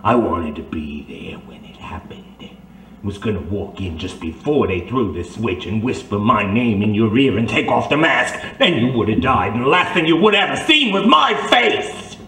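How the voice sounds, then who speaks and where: An actor playing a disfigured, vengeful crime boss, bitter and unhinged, in a theatrical detective radio drama. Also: male voice, lowish voice, big pitch swings, moderate pace, full character voice. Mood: vengeful fury. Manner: menacing, theatrical, swinging from shouts to whispers. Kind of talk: old-time radio detective drama acting. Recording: echoey room, faint background noise.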